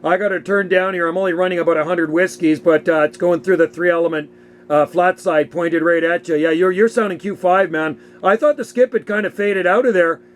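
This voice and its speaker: A man's voice: high-pitched, fairly even intonation, brisk. A man chatting casually and easily as a radio operator making a contact, sometimes repeating himself. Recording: faint background noise and hum.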